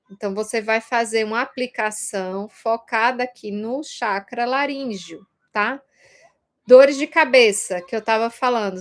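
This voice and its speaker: A woman with a Brazilian Portuguese accent speaks in a fairly high register. She teaches as if chatting directly with her students, explaining informally and adding little tag questions to check that they follow. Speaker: Reiki course teacher.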